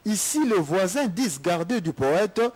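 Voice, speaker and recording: A man's voice: high voice, big pitch swings, a little slow, a little mellow, husky. A man delivering a solemn, scripted news report. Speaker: field reporter.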